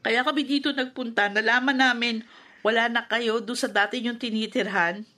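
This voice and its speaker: A woman's voice: fairly high pitch, fairly even intonation, moderate pace, sharp tone. A woman with a Filipino accent playing a protective, wounded young elder sister in a radio drama. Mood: reproachful.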